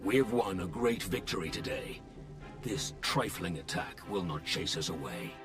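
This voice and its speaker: Low-voiced dark warlord, grim, proud, deliberate, commanding, video game drama, loud music behind. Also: male voice, very animated. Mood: stern, commanding. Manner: solemn, commanding, deliberate speech. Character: grim, imposing Sith lord war commander, proud and weary.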